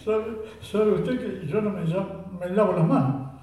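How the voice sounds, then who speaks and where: A boastful country sergeant, rambling tall tales in a deadpan, mock-solemn voice, as a man acts him out in a comic radio play. His voice sits a little high and sounds far from the mic in a very echoey room. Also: moderate pace, slight background noise, natural voice. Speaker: radio drama actor.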